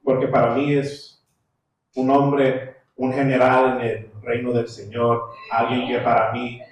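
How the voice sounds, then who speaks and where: A man introducing the guest pastor to the congregation, joking warmly and rousing the crowd. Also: mid-range voice, little pitch movement, takes it slowly. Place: church sanctuary. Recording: very echoey distant hall sound with faint noise.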